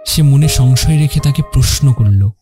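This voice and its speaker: Measured male story narrator over music. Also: medium pitch, warm tone. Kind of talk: scripted dramatic story narration.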